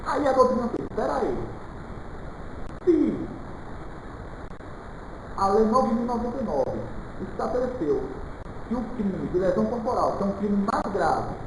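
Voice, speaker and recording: A man's voice: high voice, very animated, a little slow, pauses often. A man from Salvador, giving an informal live lecture as a law teacher, didactic and often checking in with listeners. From Salvador, Brazil. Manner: didactic, with frequent check-in questions and colloquial asides. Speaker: criminal law teacher for public exam candidates. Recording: very echoey room with clear background noise.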